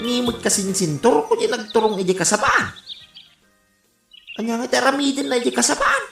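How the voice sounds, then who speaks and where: A woman's voice: on the high side, expressive, a little fast, vocal fry. A woman playing a teasing, nosy cousin in a radio comedy drama, exclaiming with a Filipino accent. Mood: gossipy, musing. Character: mocking, giggly, nosy cousin who teases relentlessly. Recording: echoey room, faint music behind.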